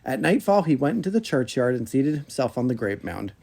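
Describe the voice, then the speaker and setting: A man hosting a podcast, reading an old fairy tale aloud in an expressive storybook manner. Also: mid-range voice, fairly quick, vocal fry.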